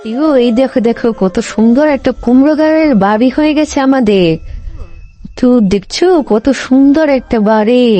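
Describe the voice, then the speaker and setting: A woman's voice: on the high side, warm tone. A woman voicing a demanding wife fox in a cartoon moral story, speaking in a homely, instructing manner. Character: bossy, demanding wife fox, longing for better home. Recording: music behind the voice. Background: music.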